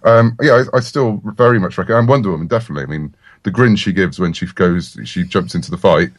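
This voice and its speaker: A man's voice: sits low, fast talker, no room sound. A middle-aged British man speaking as a writer guest in a casual, chatty podcast interview.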